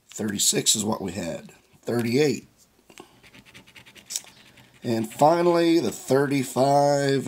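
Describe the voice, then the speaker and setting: A raspy, hoarse man who has lost some of his voice hosts his own vlog in a slow, easygoing drawl. He reads numbers off a ticket one at a time, his tone husky and rough with a gravelly edge. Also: fairly low pitch, a little mellow. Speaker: scratch-off ticket channel host. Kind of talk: casual vlog narration.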